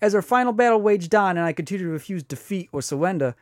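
A man's voice: high voice, animated, quick pace, no room sound, studio quality. A man voicing an indignant, foul-mouthed devil moose with Brooklyn swagger and lisped R sounds, sarcastic and condescending in a comedy sketch. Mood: pompous, aggrieved.

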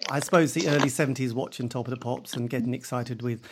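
A man's voice: medium pitch, fairly even intonation, quick pace, background noise. A man, hosting a radio interview in a rambling, chatty way. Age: 50s. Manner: chatty, rambling with many sort-ofs. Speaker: radio show host. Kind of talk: casual interview chat.

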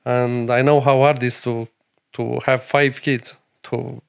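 A middle-aged man from Romania with a Romanian accent, a chef and restaurant owner speaking earnest, halting English in a podcast interview. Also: mid-range voice, flat delivery, vocal fry, smooth. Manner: broken English, earnest and emphatic, many pauses.

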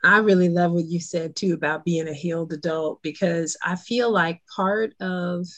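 A woman's voice: on the low side, fairly even intonation, normal speed, no room sound, muffled recording. Chatty woman podcast host, keeps saying yes and mm-hmm, dry close sound. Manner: affirming, lots of agreeing murmurs. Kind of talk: casual chat.

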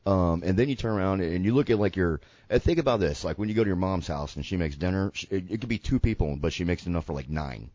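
A man's voice: low voice, lively intonation, quick pace, hardly any pauses, darkish tone, dry recording, lo-fi sound. A man from the American South hosting a casual radio talk show, joking and rambling, a bit congested. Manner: joking, rambling, laid-back. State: congested from sinuses. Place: radio station studio.

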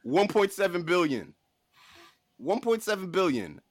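A man's voice: high-pitched, sing-song, unhurried, no room sound, studio quality. A man hosting a podcast in casual comic debate, arguing emphatically with mock disbelief. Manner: argumentative, mock-incredulous, emphatic. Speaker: podcast host.

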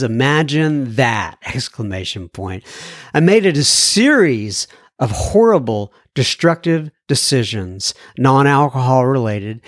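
A man's voice: a little high, dry recording, studio quality. A man hosting a recovery podcast, reading listener letters aloud and adding folksy asides with frequent restarts. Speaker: podcast host.

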